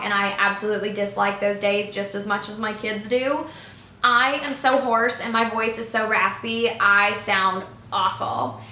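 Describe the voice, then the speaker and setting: A young woman talks straight to camera in a chatty, expressive way, her voice bright and a little high. The room around her rings with echo, and a faint hum sits underneath. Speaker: middle school teacher. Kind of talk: casual vlog to camera. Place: living room by a fireplace, indoors.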